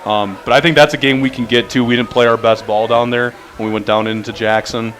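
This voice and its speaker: A man who coaches high school basketball, talking earnestly and upbeat as a radio guest. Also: fairly even intonation, a little fast, fairly bright tone. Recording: busy bar crowd behind. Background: bar crowd chatter.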